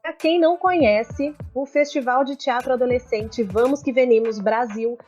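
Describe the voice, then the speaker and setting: A Brazilian woman in her thirties narrates a podcast from a script. Her voice is fairly high, recorded a little dull, with faint music behind. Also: moderate pace, darkish tone. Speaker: podcast co-host. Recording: slightly dull lo-fi audio.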